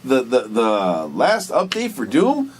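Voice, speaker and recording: A man's voice: mid-range voice, wildly swooping pitch, slow. A drunk man co-hosting a casual chat, swearing freely and talking in emphatic slang. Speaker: co-host. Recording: noticeable background noise.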